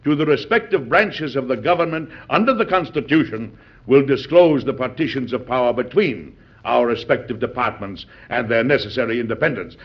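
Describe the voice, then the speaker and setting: An actor playing a resolute, wily American president in a classic radio drama, stately and deliberate but good-humored. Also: male voice, mid-range voice, slightly rough. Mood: solemn, oratorical. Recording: muffled old radio broadcast recording.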